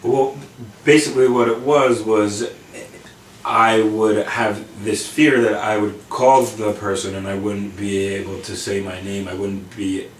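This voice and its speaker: A man's voice: fairly low pitch, steady tone, a little slow, slight background noise. A man who stutters through repeated words, chatting casually. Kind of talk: casual chat. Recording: echoey room, distant mic.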